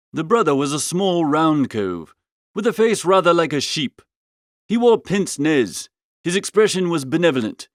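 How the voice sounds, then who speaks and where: A man's voice: a little high, dry recording, studio quality. A man reading a first-person comic story as an audiobook, with a breezy, theatrical manner. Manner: breezy, theatrical, old-fashioned upper-class idiom. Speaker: audiobook reader.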